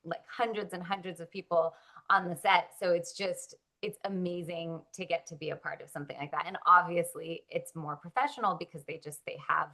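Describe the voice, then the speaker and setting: A woman's voice: on the low side, steady tone, a little fast, pushed and bright. A woman born in Russia, an actress chatting casually in an interview, upbeat and full of likes and ums.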